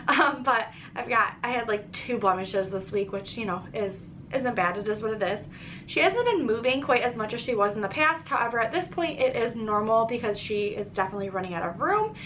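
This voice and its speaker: A woman's voice: on the high side, pushed and bright, slight background noise, poor audio. Congested pregnant woman chatting casually. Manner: chatty, with frequent ums and restarts. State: congested, short of breath. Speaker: pregnancy vlogger. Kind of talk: casual pregnancy update vlog.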